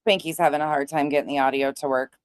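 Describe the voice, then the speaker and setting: A woman's voice: sits low, fairly even intonation, fast, smooth, dry recording, clean studio sound. A woman commentating on played clips on an online talk show, casual, opinionated and emphatic.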